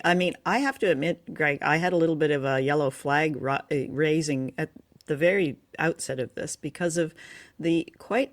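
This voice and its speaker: A woman podcast host with a fairly low voice. She chats casually and enthusiastically, as in a relaxed review.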